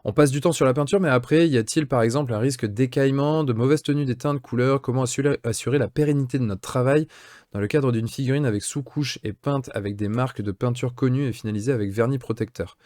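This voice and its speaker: A man's voice: mid-range voice, fast, a little mellow, dry recording, studio quality. A man in his late thirties with a French accent, a hobby channel host casually reading and answering viewer questions, chatty and full of fillers. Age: 30s. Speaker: miniature painting channel host.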